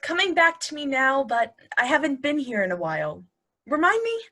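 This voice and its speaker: A woman's voice: high voice, sing-song, moderate pace, sharp tone, exaggerated voice. A woman playing a snarky, teasing wife in a conversational comedy play reading, full of sarcasm. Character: snarky, joking wife of the anxious son.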